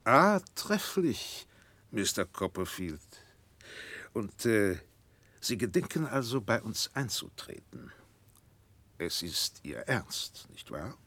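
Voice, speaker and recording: An actor playing a stiff, courteous, evasive lawyer in a 1960s radio drama, speaking formally and pompously in drawn-out phrases. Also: male voice, on the low side, very animated, fairly bright tone, dry recording, full character voice. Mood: ceremonious, courteous.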